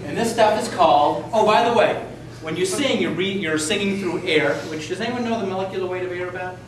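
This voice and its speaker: A middle-aged man giving an informal science demonstration as a teacher, with halting explanations and false starts. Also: high-pitched. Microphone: camera mic from a few meters away. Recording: echoey room, distant camera mic, noisy.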